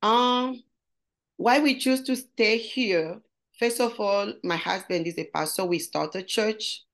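A woman's voice: medium pitch, slow. Congolese-accented woman, earnest and searching. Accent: Congolese French. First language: French. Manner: earnest, searching for words in English. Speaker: guest. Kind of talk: interview conversation.

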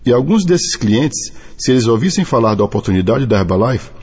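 Husky Brazilian man, earnest scripted delivery. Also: medium pitch, big pitch swings, darkish tone. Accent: Brazilian Portuguese. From Brazil (Bahia). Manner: deliberate, earnest reading from a script. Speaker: network marketing distributor. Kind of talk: scripted motivational testimony and read-out translation. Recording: long hall reverb.

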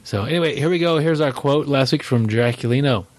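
A man hosting a casual podcast chat, nasal and congested from allergies, relaxed and laughing easily. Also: mid-range voice, normal speed, dry recording, rough recording. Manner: relaxed, laughs often, filler-heavy. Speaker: podcast host.

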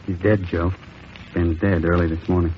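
An actor playing a somber, sympathetic middle-aged police captain with terse, halting lines in a 1950s radio drama. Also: male voice, level tone, natural voice. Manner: terse, halting, low-key. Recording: muffled, noisy old radio recording.

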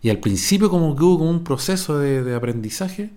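A man's voice: fairly high pitch, lively intonation, fast, dark tone. A middle-aged man from Viña del Mar with a Chilean Spanish accent, hosting his podcast in an improvised, rambling, digressive monologue full of slang and swearing. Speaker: podcast host.

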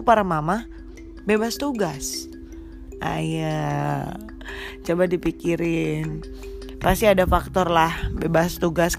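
A young woman with a stuffy, congested nose, chatting casually and teasingly to mothers as a podcast host. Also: fairly low pitch. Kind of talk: casual chat to mothers, mixing in English. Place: small rented room.

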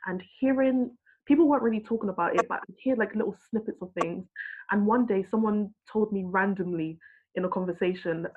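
A woman's voice: medium pitch, fairly quick, slight background noise. A woman who works in healthcare, earnestly telling a frustrated personal story in a discussion. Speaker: healthcare worker. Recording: husky voice over a tinny phone-like line.

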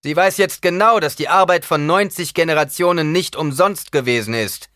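A man's voice: on the low side, big pitch swings, fairly quick, a bit bright, no room sound, studio quality. A male narrator voicing a defiant, commanding young man in an audiobook, declaiming with scorn and force. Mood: loud, triumphant.

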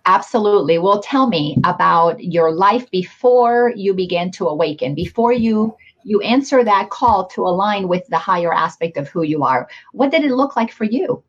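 A woman hosting a spiritual interview show over a video call, speaking slowly and earnestly with gentle enthusiasm. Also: mid-range voice, lively intonation, husky, lo-fi sound. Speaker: host.

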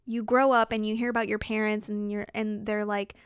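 A woman's voice: mid-range voice, flat delivery, brisk, clear, resonant tone, no room sound, studio quality. A woman in her late twenties co-hosting a podcast, chatting casually and joking about herself, with lots of "like". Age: late 20s. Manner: chatty, self-mocking, lots of "like". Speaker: podcast co-host. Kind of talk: casual chat.

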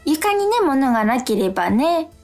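A woman's voice: sits high, big pitch swings, moderate pace, a little mellow, soft background music. A woman co-hosting a radio show, chatting casually in a polite, responsive way. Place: remote recording from home.

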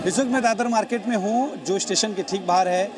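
A man's voice: high voice, brisk. A man reporting news on location, explaining and pointing things out directly to viewers. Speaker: television news field reporter. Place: outdoors on city streets. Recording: noisy outdoor urban location with traffic.

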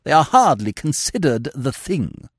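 A man's voice: medium pitch, sing-song, measured pace, slightly rough, dry recording, studio quality, voice pushed up. A man doing a haughty grand old dowager. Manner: imperious, haughty, pronouncing. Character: imperious haughty aristocratic older woman.

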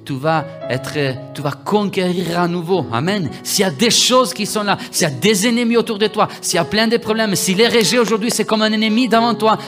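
A man from Italy, preaching a livestreamed sermon that repeats its phrases and builds from quiet talk to loud exhortation. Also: high voice. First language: Italian.

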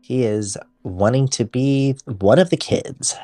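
A woman voicing a brisk, motherly female assistant camp director who is cheerfully overbooked. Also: a bass voice, dark tone, no room sound, clean studio sound, faint music behind, full character voice. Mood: chatty. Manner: fast, businesslike, cheerfully overbooked.

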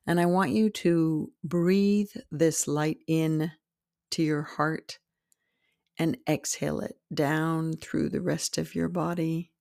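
A woman narrating a guided meditation as host, in a soothing, deliberate manner with pauses between short phrases. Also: low-pitched, fairly even intonation, gravelly, darkish tone, no room sound, studio quality.

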